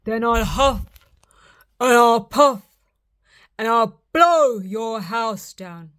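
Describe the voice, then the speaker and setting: A woman voicing a greedy, blustering big bad wolf who bellows slow, drawn-out threats. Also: on the high side, lively intonation, fairly bright tone, exaggerated voice. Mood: bellowing.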